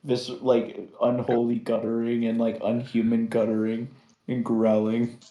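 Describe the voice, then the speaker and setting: A man's voice: medium pitch, completely flat delivery, a little slow, warm tone. A man co-hosting a podcast, chatting in casual comedic banter. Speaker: podcast co-host. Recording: roomy, echoing sound.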